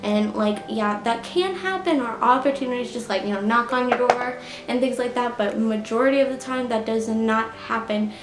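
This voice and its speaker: A woman's voice: on the high side, moderate pace, over music. A woman in her late twenties talking casually to her viewers, full of "like" and "you know". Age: 20s. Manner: chatty, heavy use of "like" and "you know". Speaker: YouTuber. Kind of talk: casual storytime vlog. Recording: echoey room.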